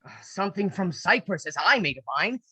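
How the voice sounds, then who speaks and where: A woman playing a dutiful military lieutenant with urgent news in an amateur Shakespeare radio play, brisk and theatrical. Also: mid-range voice, animated, bright, forceful tone, dry recording. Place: home, recorded over a video call. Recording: faint raspy video-call recording.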